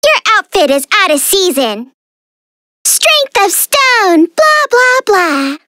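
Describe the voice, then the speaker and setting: A tiny, bratty fairy girl from a video game, squeaky and extremely high. The delivery is theatrical and sassy, swooping wildly up and down with a piercingly bright tone. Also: sing-song, slow pace, no room sound, studio quality, full character voice. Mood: sassy, mocking. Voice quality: girlish, squeaky. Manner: playful, theatrical, teasing, sassy. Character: tiny mischievous female fairy, vain and bratty. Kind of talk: video game character voice lines.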